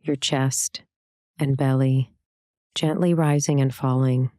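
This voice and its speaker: A meditation instructor guiding a scripted practice in a calm, soothing, gentle way, leaving long pauses. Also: medium pitch, dry recording, studio quality.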